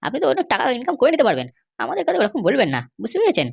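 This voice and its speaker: A woman's voice: a little high, huge pitch swings, racing, creaky, husky, dull audio, heavily acted. A woman voicing a sly, insistent male schemer and constable in an amateur comedy cartoon. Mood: mocking, wheedling.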